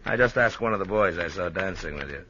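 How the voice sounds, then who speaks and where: An actor playing a smooth-talking, pushy man flirting at a bar in a 1950s radio drama, casual in manner. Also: low voice, expressive, quick pace. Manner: casual, pushy, hesitant starts. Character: smooth-talking, pushy male barroom admirer. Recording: muffled, faintly noisy old radio recording.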